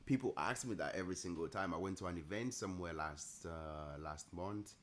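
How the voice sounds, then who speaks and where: A man with a mixed Nigerian and Italian accent, chatting casually and thinking aloud on a live trading stream, easygoing and quick to laugh. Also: low-pitched, a little hiss or hum. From Nigeria, raised partly in Italy. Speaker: trading livestream host. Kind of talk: casual live-stream chat answering viewers.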